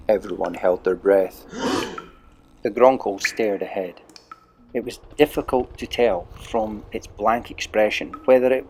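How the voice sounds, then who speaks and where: A man reads a children's adventure story aloud at a slow, deliberate pace, leaning on dramatic pauses. His voice sits at an ordinary middle pitch, and a faint hiss of noise lies under the recording. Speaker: narrator.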